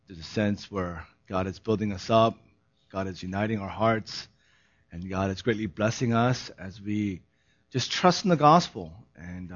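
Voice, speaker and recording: A man who is a pastor giving a sermon, hoarse in his voice, moving from a laughing, relaxed opening into earnest, solemn preaching. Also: fairly low pitch, lo-fi sound.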